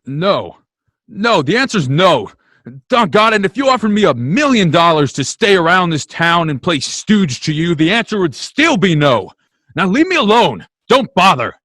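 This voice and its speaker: Radio play lead, male actor: idealistic, hot-tempered young man, fairly high voice, impassioned delivery. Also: very animated, normal speed, a bit bright, dry recording, studio quality, exaggerated voice. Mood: defiant. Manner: emphatic, impassioned. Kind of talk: old-time radio drama acting.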